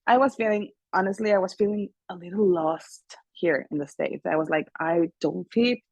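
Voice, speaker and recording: A woman's voice: on the low side, normal speed, no room sound. Mexican-accented woman, dry and close. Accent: Mexican Spanish. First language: Spanish. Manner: animated, laughs often, earnest. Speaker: guest singer and actress. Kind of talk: casual interview chat.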